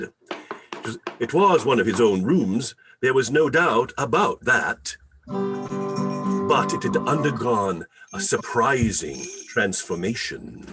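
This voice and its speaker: A man narrating a radio play in a theatrical, deliberate storybook style. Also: medium pitch, low-quality recording. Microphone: video call.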